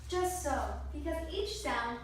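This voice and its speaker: A woman's voice: sits high, lively intonation, measured pace. A woman acting an elderly, passionate piano virtuoso and teacher in readers theatre, with an effusive, theatrical manner. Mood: pleased. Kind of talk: amateur readers theatre performance. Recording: echoey room, distant mic, faint noise.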